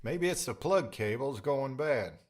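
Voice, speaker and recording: A man playing a gruff, grumbling middle-aged father of few words in an audiobook drama. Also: mid-range voice, expressive, unhurried. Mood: disappointed, grumbling. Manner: grumbling, terse. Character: gruff, laconic middle-aged mechanic dad. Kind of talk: audiobook character acting.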